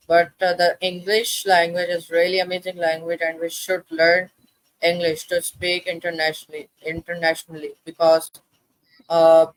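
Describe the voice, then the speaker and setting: A man whose first language is Urdu, hosting an interview and asking questions in slow, simple phrasing. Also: a little high, fairly bright tone, dry recording, poor audio. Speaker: interview host.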